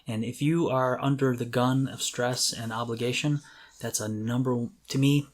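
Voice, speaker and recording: A man's voice: mid-range voice, steady tone, moderate pace. A man speaking casually and earnestly as a podcast co-host, giving emphatic advice on creative life.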